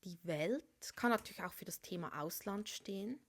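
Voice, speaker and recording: A woman reading tarot cards, her voice soft and often sinking to a whisper as she turns each card. She is speaking through a cold, at an ordinary pitch for a woman. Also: expressive, normal speed. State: has a cold. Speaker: tarot reader. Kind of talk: tarot reading for a star sign.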